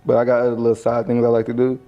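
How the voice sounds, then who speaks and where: A man's voice: mid-range voice, monotone, rapid-fire. A man chatting casually and flirtatiously in a teasing, slangy way. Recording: muffled video clip with music.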